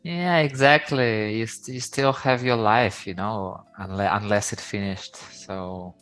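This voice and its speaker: Very deep-voiced hesitant woman host. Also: fairly bright tone, soft background music, rough recording. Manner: hesitant, halting, with self-corrections and laughs. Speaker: podcast host. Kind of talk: casual interview.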